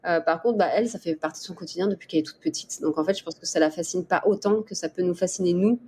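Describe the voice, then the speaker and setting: A middle-aged woman from the Paris region answering interview questions in a casual, explanatory way with hesitant pauses. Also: fairly low pitch, darkish tone. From Paris region, France. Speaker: interview guest, dolphin researcher. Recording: clear background ambient noise.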